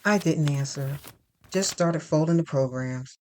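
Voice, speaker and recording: A woman reading first-person dramatic fiction in a deliberate, expressive storytelling manner. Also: low-pitched. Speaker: narrator.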